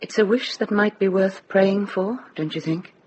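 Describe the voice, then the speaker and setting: An actor in a radio drama playing a solicitous, reflective companion to a monk, speaking in a measured, thoughtful way. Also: male voice, high-pitched, slight background noise, close to an everyday voice, poor audio. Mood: pointed, reflective.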